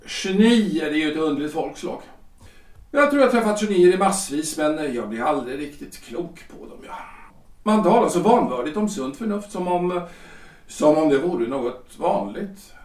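A man's voice: fairly high pitch, lively intonation, far from the mic, natural voice. An actor playing a chatty, self-important middle-aged lawyer husband in a period audio drama, hesitant and stammering as he rambles. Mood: musing, pompous. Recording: echoey room, off the mic.

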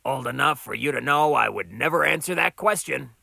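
A stubborn, grumpy diner boss in an audiobook, acted by a man. He grouses dryly and defensively, with lively swings of pitch in a close, dry recording. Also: fairly quick, creaky, no room sound, exaggerated voice. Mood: dry, evasive.

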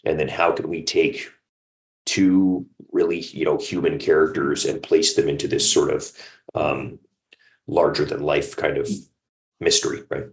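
Very deep-voiced man in a roomy space. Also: flat delivery, unhurried. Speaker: audio drama co-creator. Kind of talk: interview chat. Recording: roomy, echoing sound.

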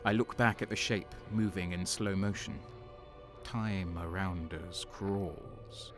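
A bitter renegade guardsman tells his story slowly in a low, brooding voice. Music runs steadily beneath his first-person narration in this audio drama. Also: steady tone. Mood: tense. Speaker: narrator and lead. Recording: music underneath.

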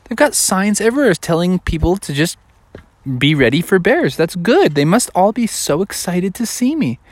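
A big friendly cowboy bear, as a male storyteller plays him, carefree and oblivious. His pitch sits up high and swoops around freely. He talks in an easygoing, drawn-out way, like a children's story character. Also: sing-song, no room sound. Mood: delighted.

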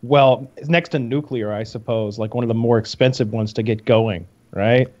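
A man's voice: mid-range voice, steady tone, moderate pace, darkish tone, dull audio. A man in casual chat over a video call, joking crudely and swearing.

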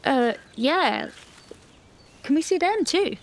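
An actress playing an outspoken teenage great-granddaughter in an audio drama, with casual, blunt, questioning speech. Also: female voice, high-pitched, lively intonation, slow pace. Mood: curious, incredulous. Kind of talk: naturalistic audio drama acting.

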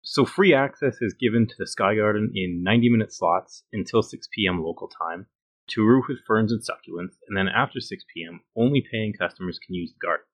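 A man's voice: fairly low pitch, fairly quick, rough recording. A man co-hosting a conversational podcast, with an understated, dry manner. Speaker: podcast co-host.

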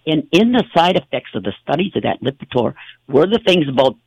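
Gravelly husky man, rambling talk. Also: a little high, big pitch swings, a little fast, no echo, low-quality recording. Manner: rambling, with many fillers and restarts. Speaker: talk show host. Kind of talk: casual conversational talk.

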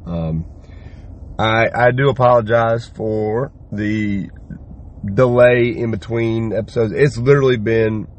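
Man, hoarse, low-pitched, middle-aged, casual rambling podcast host, faint background noise. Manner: rambling, loose, swears casually. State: hoarse, lost voice from yelling. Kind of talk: casual solo podcast monologue.

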